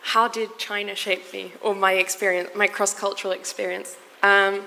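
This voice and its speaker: A woman who grew up in Morocco and London answering on a panel, relaxed and direct. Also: medium pitch, normal speed, vocal fry. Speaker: panelist, founder. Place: large conference hall. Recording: reverberant hall, faint noise.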